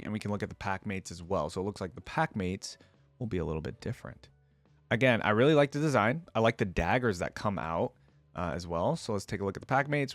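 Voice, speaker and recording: A man's voice: on the low side, no echo. A man giving casual reaction commentary as a video host, thinking aloud as he goes. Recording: music playing behind the voice. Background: game theme music.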